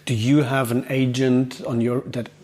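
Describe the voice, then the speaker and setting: A man hosting a podcast, asking a guest curious, measured questions. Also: mid-range voice, flat delivery, a little mellow. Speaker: podcast host. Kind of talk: interview questions.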